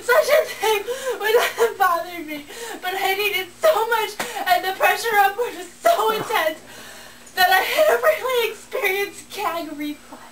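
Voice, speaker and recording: A woman's voice: very high voice, measured pace, fairly bright tone. A young woman reading a comic story aloud in a giggly manner, in a bedroom. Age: young adult. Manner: giggly, breaking into laughter while reading. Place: small bedroom, indoors. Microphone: handheld camera a short way off. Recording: distant mic, noticeable room noise.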